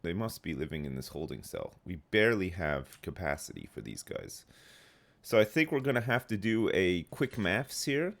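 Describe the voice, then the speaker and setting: A man's voice: low voice, expressive, normal speed, a bit bright. A man in his thirties streaming a game live, phlegmy and rambling as he answers chat. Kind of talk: casual live gameplay chat.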